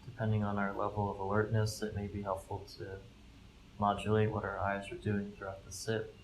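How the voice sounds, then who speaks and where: A man leading a guided meditation, giving gentle, calm instructions in measured phrases with pauses between them. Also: fairly low pitch, poor audio. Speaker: mindfulness fellow, meditation guide. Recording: live recording with clear background noise.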